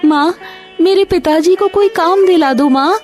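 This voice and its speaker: A woman playing a sweet, caring, devout young daughter in an animated moral story, with a childlike, earnest manner. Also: very high-pitched, measured pace, faint music behind. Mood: tearful pleading.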